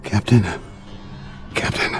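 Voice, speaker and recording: A man performs a feeble young crewman near death in a radio play. He gasps out his words slowly and desperately, over faint music. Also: natural voice. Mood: desperate, fading. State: feeble, gasping. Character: weak, dying young male crewman, gasping and desperate. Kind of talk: dramatic radio play acting.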